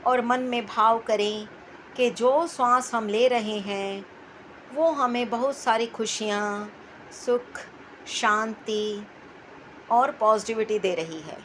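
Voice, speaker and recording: Female meditation guide, fairly high voice, very slow soothing delivery, some ambient noise behind. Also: a bit bright, low-quality recording. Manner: soothing, repetitive, unhurried phrasing with pauses.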